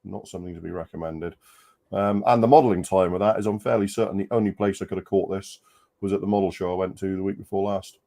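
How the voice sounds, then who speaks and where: A man's voice: deep voice, steady tone, fast talker, vocal fry, mellow. Congested man chatting on a call. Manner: hesitant, many ums, dry understatement. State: congested, still coughing. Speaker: co-host. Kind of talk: casual live-stream chat. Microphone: video call.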